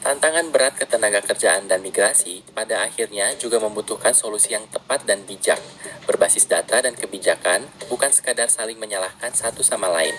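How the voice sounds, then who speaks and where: A man reading scripted news narration in an even, formal delivery. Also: lowish voice, fairly quick, poor audio.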